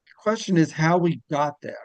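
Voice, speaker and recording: Man, interview host, slightly high pitch, dry close sound, casual, rambling, halting questions. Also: no room sound.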